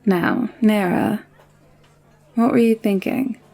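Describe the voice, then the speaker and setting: A woman performs a headstrong young woman in an audio roleplay. Her mid-range voice has plenty of fry at the edges. She is warm and playful, coaxing others along with calm assurance and a protective streak. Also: mid-range voice, slow pace. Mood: cheerful, coaxing. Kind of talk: scripted audio roleplay drama.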